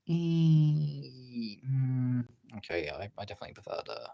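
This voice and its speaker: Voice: medium pitch, very animated, measured pace, creaky, mellow. A streamer chatting casually while drawing, absent-mindedly thinking aloud in half-muttered remarks.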